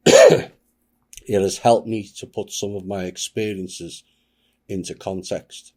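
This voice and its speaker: Grave male host, very low voice, unhurried solemn reading. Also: fairly even intonation, a little mellow. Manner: grave, measured delivery. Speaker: channel host. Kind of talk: solemn reading of a submitted account.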